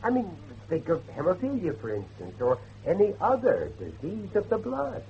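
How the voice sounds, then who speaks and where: A man acts out an intense, brooding father in a horror drama, haunted by grief and talk of devilry. His pitch swings widely between grave pauses and emphatic outbursts. The recording is dull, with faint noise behind it. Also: wildly swooping pitch, mellow, full character voice. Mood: insistent. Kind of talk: dramatic horror dialogue acting.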